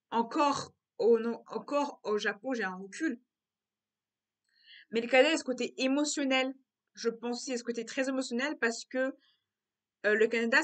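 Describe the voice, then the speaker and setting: Bright-voiced young French-speaking woman. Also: on the high side. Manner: repetitive, circling back on her words. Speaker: vlogger. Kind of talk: casual reflective monologue.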